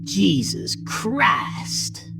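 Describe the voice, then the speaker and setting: A woman narrating a scripted horror audio drama in a theatrical manner, sometimes dropping into hushed tones. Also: medium pitch, wildly swooping pitch, extremely slow, vocal fry. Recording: music bed under the narration.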